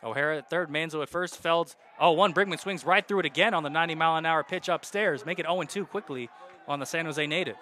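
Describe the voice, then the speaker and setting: Bright-voiced young man calling baseball. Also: on the high side, fast talker, slight background noise. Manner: steady count-and-situation narration, rising loud on hits. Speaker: play-by-play announcer. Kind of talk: radio baseball play-by-play.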